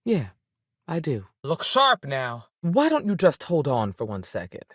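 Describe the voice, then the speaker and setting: A woman's voice: sits low, huge pitch swings, unhurried, a bit bright, breathy edge, no room sound, clean studio sound, exaggerated voice. A woman playing a strict, principled frontier ranger in a video game, plain-spoken and authoritative with a folksy turn. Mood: steady, firm. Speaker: video game character actor. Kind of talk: scripted game dialogue.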